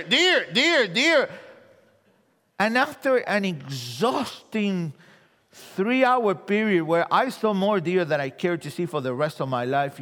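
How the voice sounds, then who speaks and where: A man from Argentina with an Argentine Spanish accent, preaching a sermon and telling humorous stories, dropping his voice low for the punchlines. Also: big-room sound. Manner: animated storyteller, drops voice low for punchlines. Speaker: guest preacher.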